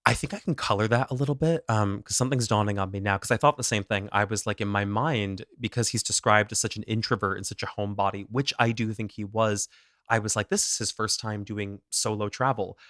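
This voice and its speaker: Male podcast co-host, low register, casual true-crime storyteller, dry booth sound. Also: fairly quick, dry recording. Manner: animated, self-deprecating, laughs at own pronunciation.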